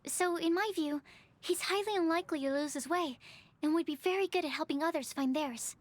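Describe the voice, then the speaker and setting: A woman's voice: very high-pitched, fairly quick. A woman playing a polite, earnest, bookish young woman researcher in an anime video game, speaking carefully. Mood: thoughtful. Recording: background music.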